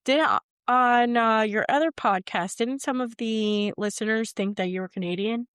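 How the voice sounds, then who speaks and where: A woman's voice: a little high, moderate pace, fairly bright tone, clear, resonant tone, no echo. Woman cohosting a podcast, rambly and unsure, laughs a lot, dry close mic. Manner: rambling, hesitant, laughs often. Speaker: podcast co-host. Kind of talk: casual chat.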